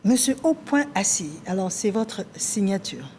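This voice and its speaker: A woman hosting a TV talk show, introducing guests and prompting them briskly with short acknowledgments. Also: medium pitch, expressive, warm tone, slight background noise.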